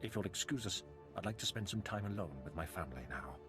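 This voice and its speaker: A grave middle-aged nobleman in a game cutscene. He speaks formally and with measured solemnity in a lowish voice, with music clearly playing behind. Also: animated. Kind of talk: video game cutscene acting.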